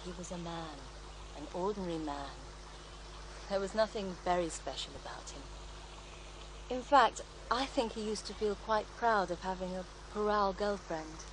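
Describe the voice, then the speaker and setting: An actress playing a serene, devout faith healer in a radio drama, reflective and gently assured as she tells her story. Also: fairly low pitch, moderate pace, background noise, lightly acted. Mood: wistful. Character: serene devout female faith healer, former child therapist, wistful. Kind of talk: naturalistic radio drama acting.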